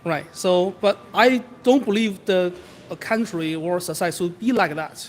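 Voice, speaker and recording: A young man from abroad, answering a campus street interview with halting, earnest speech full of restarts. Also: high voice, slight background noise. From outside Canada.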